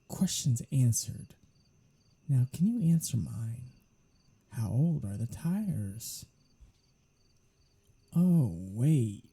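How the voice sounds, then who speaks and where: Snarky vampire for ASMR roleplay performer acting, very breathy and mellow, unhurried but lively in pitch, casually menacing and teasing. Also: heavily acted. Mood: testy, teasing. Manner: sardonic, casual, swearing, teasing. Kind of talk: soft-spoken ASMR audio roleplay.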